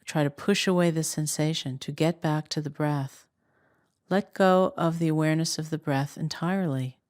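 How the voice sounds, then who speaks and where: Low-voiced woman guiding meditation. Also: no room sound, studio quality. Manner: calm, unhurried, with long pauses. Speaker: meditation teacher. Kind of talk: guided meditation instruction.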